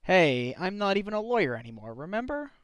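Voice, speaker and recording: A man's voice: sits high, big pitch swings, a little fast, no echo. A man playing an earnest defense attorney. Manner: exclamatory, earnest. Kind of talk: fan dub voice acting.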